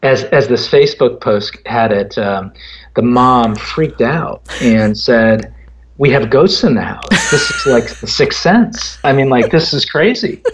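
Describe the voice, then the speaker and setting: A middle-aged American man, a native Japanese speaker, talking as an interview guest in a conversational storytelling way, with deliberate, hesitant phrasing. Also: mid-range voice, expressive, slight background noise. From born in Japan, grew up in the United States from age four. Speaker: interview guest, app company founder.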